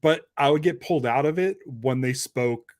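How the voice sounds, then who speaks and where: A man's voice: mid-range voice, normal speed, a little mellow. A man talking casually about pop culture as part of a podcast discussion. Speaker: podcast panelist.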